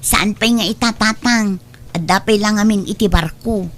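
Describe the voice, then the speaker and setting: Mid-pitched young Filipino daughter played by a woman. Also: moderate pace, lightly acted. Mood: reassuring. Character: young woman, dutiful daughter with a seafaring boyfriend. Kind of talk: amateur comedy drama acting.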